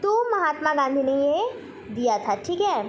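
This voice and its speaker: A woman teacher with an extremely high voice and an Indian accent runs through a quiz lesson for students. She poses questions and answers them herself, repeating key points. There is some faint noise in the recording. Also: sing-song, measured pace, lo-fi sound. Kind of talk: general knowledge quiz lesson for exam students.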